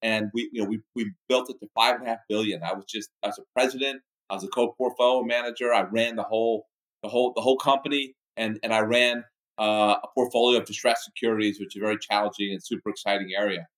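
A man in his fifties from the Bahamas, a business executive guest answering interview questions in a halting way with many restarts. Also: lowish voice, fairly even intonation, fairly bright tone, low-quality recording.

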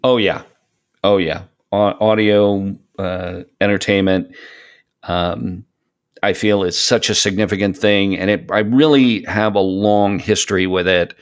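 A man talking reflectively as a podcast co-host, hesitant, often stammering on the starts of words and pausing mid-thought. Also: deep voice, fairly even intonation. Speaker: podcast co-host, audio content creator. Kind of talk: reflective casual podcast conversation.

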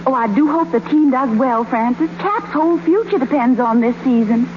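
Sweet, coy young sweetheart, very high and gently hesitant, noisy 1930s radio romance. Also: female voice, lively intonation, moderate pace, narrow, tinny audio. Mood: fond, coaxing. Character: sweet, coy young woman coaxing her shy sweetheart.

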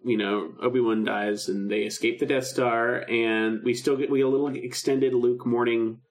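A man's voice: mid-range voice, fairly even intonation, moderate pace, low-quality recording. Casual, enthusiastic male podcast co-host. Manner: enthusiastic, acts out quoted dialogue. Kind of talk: casual podcast chat, recapping a drama.

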